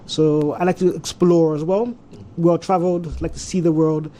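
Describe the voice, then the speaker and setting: A man's voice: a little high, flat delivery, moderate pace, mellow. A Ghanaian man with an Austrian-tinged English accent, a tech consultant explaining his field in a talk show interview.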